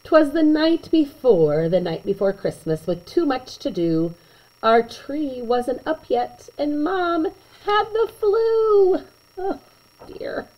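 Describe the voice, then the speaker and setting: Woman, bedtime storyteller, slow pace, very animated intonation, chatty asides to children. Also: sits high, poor audio. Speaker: storyteller reading a picture book aloud to children.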